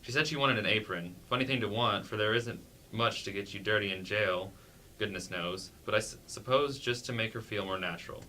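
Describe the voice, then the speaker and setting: A man's voice: lowish voice, brisk, hardly any pauses, bright, forceful tone. A man playing a timid, dutiful sheriff's wife in an amateur staged play reading, with hesitant, deferential delivery. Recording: faint background noise.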